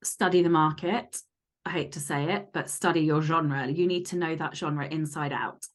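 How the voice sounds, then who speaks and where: A woman's voice: low-pitched, steady tone, moderate pace. A woman podcast guest giving conversational advice in a blunt, emphatic, sweary way.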